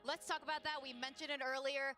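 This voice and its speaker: A slightly high-voiced woman leads an esports broadcast desk with poise, putting questions to her analysts. Clear music plays behind her. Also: level tone, quick pace, bright, forceful tone.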